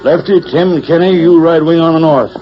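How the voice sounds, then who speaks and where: Man playing tough rustler leader, high-pitched voice. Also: fairly even intonation, close to an everyday voice. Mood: commanding. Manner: brisk, commanding western drawl. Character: tough, ruthless cattle rustler gang leader. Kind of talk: 1940s radio western acting. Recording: muffled, very noisy vintage radio broadcast.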